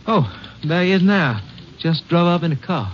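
An actor playing a bank robber posing as a street-corner Santa in a 1950s radio crime drama. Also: male voice, a little high, animated, normal speed, lightly acted. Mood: folksy, friendly. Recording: muffled, noisy old radio broadcast.

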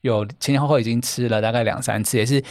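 A man's voice: mid-range voice, fairly even intonation, no room sound. A man, a podcast guest telling stories about the dating events he runs, chatty and full of filler words. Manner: brisk, chatty, many fillers and repeated "对". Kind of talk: podcast interview, storytelling.

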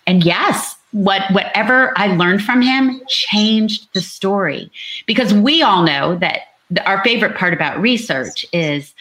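A woman's voice: medium pitch, lively intonation, measured pace, a bit bright, poor audio. A woman novelist talking about her work on a panel, earnest and storytelling, repeating phrases for emphasis. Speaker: novelist, interviewee. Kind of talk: animated book talk on an online panel.

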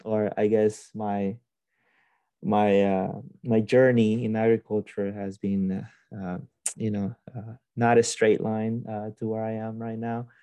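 A young man speaks low and dark, his pitch staying flat and even. He tells his story as a guest, pausing, restarting and filling gaps as he goes. The sound is dry and close, with no room around it. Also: no echo. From Honduras. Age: young adult. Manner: hesitant, many restarts and fillers. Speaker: podcast guest. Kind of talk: casual interview storytelling.

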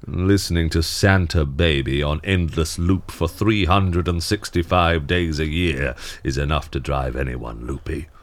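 An actor playing a jaded, fed-up Santa Claus in an audio comedy drama, with a dry, grumbling, world-weary delivery. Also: male voice, extremely low pitch, fairly even intonation, unhurried, hardly any pauses. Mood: bitter.

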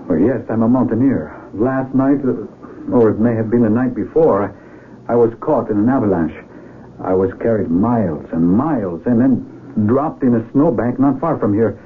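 Actor as an earnest young mountaineer, tinny radio sound. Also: male voice, on the low side, moderate pace, close to an everyday voice. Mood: apologetic, earnest. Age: young adult. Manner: hesitant, polite, exclaiming in wonder. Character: earnest, bewildered young male mountaineer, polite and apologetic. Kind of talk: 1970s radio drama acting. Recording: tinny, noisy 1970s AM radio recording.

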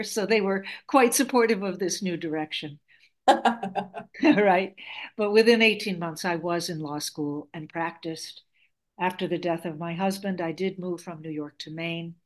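A woman's voice: fairly low pitch, normal speed. Woman in her 70s, Greek first language, articulate and reflective interview guest. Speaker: guest author. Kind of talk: interview storytelling.

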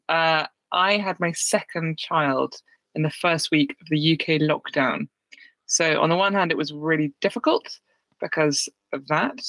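A woman's voice: sits low, fairly bright tone, no room sound. British woman, 30s, chatty candid guest on a call, dry close sound. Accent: British English. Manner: candid, chatty, self-deprecating with laughs. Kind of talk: casual interview. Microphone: remote call.

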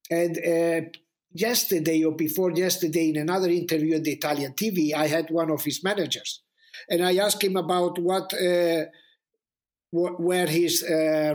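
High-pitched man with a foreign accent. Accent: foreign-accented English. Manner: halting storytelling, repeats words for emphasis. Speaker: motorsport journalist guest. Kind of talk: casual anecdotal chat.

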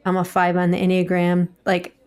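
A woman in her forties hosting a faith-based interview, measured and reflective in how she speaks. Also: on the low side, monotone, a little mellow, smooth. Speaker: host.

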